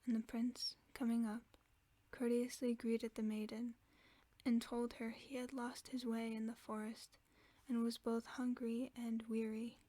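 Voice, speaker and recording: A woman reading a fairy tale aloud for sleep in a soft, steady whisper. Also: fairly high pitch, measured pace, no echo. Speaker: ASMR storyteller.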